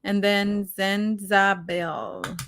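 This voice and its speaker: Woman, medium pitch, chatty, informal, slangy asides, casual live-stream co-host. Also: extremely slow, fairly bright tone. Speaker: podcast co-host.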